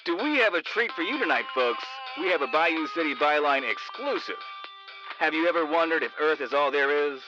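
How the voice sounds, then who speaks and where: A man's voice: on the high side, animated, fairly quick, highs cut off, music in the background, natural voice. An actor playing a late-night radio talk show host who hypes his audience in a showy way.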